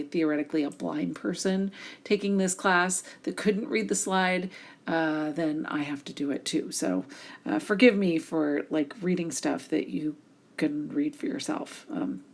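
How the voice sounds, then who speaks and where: A woman with a hoarse voice from a cold, lecturing casually over slides with many fillers. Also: deep voice. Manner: casual, many ums, reads slides aloud. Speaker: instructor.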